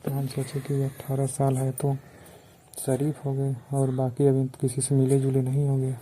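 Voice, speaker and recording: A man with a very mellow, dark tone and a narrow, even pitch speaks. He is coaxing and insistent, chatting casually and flirtatiously as a caller on the phone. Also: unhurried.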